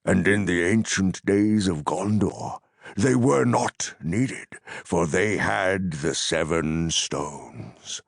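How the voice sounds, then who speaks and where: A man voicing an ancient wise wizard who speaks gravely and commandingly in solemn, archaic, dramatic phrases. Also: deep voice, slow pace, no echo, studio quality, exaggerated voice. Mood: hushed, grave.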